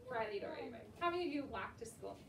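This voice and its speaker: A woman's voice: high voice, big pitch swings, racing, vocal fry. A woman teaching an elementary class, leading a discussion with questions and repeating the children's answers in an instructive way. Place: indoor school classroom. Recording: echoey noisy classroom, distant mic.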